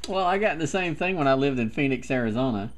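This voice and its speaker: A man's voice: medium pitch, lively intonation, quick pace, very noisy. A man from South Carolina with a Southern accent, chatting casually and laughing easily. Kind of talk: casual chat over CB radio. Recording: radio reception.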